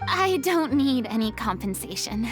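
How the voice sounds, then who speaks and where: A high-voiced young woman from a video game, speaking over clear background music. She is a dignified former stage star, compassionate and theatrical, and she phrases things formally and eloquently. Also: big pitch swings, unhurried. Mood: reflective.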